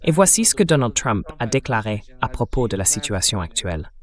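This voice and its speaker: A man's voice: fairly low pitch, very animated, fairly quick. Synthetic male interview host. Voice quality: synthetic text-to-speech voice. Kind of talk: AI-dubbed news summary narration in an interview.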